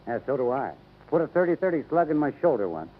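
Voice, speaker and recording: Wary American rancher, tinny radio. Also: male voice, on the high side, big pitch swings, fast. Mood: grave warning. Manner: hesitant, plainspoken, cautioning. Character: wary, grave male rancher giving warnings. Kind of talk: 1950s radio acting. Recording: tinny, faintly noisy vintage radio.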